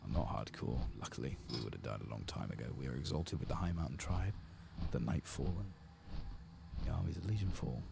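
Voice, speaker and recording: Very deep, gravelly soft-spoken man. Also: expressive, a little fast. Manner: hushed, sometimes slipping into whisper. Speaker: streamer hosting a game playthrough. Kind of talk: soft-spoken ASMR game commentary. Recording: clear background noise and game ambience.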